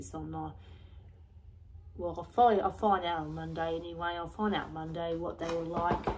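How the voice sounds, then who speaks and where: Low, hoarse woman rambling. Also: background noise. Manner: repetitive, loose, restarts and repeats herself often. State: going croaky, hoarse. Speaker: vlogger. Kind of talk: rambling personal vlog.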